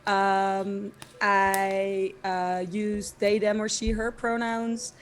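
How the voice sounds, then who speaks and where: A woman introducing herself as a tabletop game player, hoarse from a cold and cheerful. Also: medium pitch, level tone, extremely slow. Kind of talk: casual chat.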